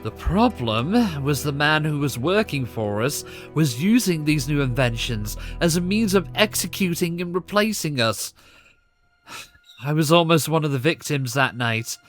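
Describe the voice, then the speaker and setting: Frightened Victorian gentleman male actor: slow, grave, urgent, higher-pitched, audio drama. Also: expressive. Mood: grave, regretful. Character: haunted, fearful Victorian gentleman in hiding. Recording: music bed under the voice.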